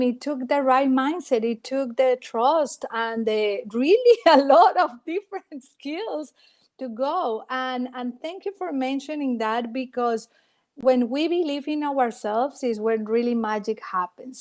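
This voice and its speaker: A middle-aged woman from Colombia, speaking English with a Colombian Spanish accent as a native Spanish speaker, telling stories expressively in an interview. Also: high voice. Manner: expressive, dramatic storyteller. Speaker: guest, former TV reporter. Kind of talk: storytelling interview.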